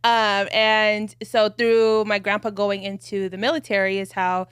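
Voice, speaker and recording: Dry, measured woman co-host. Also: mid-range voice, level tone, fairly bright tone, clear, resonant tone, no echo. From United States. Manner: reflective, measured storytelling with fillers. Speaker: podcast co-host. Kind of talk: casual podcast chat.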